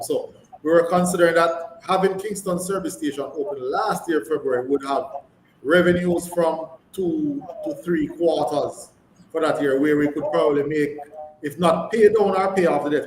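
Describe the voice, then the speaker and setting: A Jamaican man with a slightly high voice speaks as a company chief executive on a live call. He is emphatic and often repeats his phrases. The sound is echoey, with faint noise behind him. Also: moderate pace. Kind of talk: live earnings call answers. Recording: echoey room, background noise.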